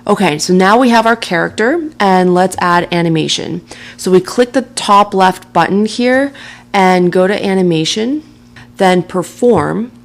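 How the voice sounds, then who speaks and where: A woman narrating a software tutorial in a casual, chatty way, laughing lightly now and then. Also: medium pitch, measured pace. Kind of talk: screen-recording tutorial narration.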